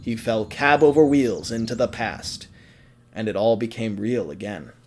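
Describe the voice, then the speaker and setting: A man narrating a scripted audio drama as a storyteller, with theatrical, deliberate phrasing. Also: fairly low pitch.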